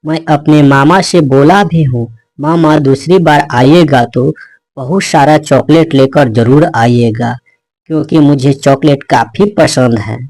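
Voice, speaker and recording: A man's voice: fairly high pitch, a little slow, a little mellow, dry recording. A man voicing an evasive, boastful male parrot in a children's cartoon, defensive in manner. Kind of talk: Hindi children's cartoon dialogue.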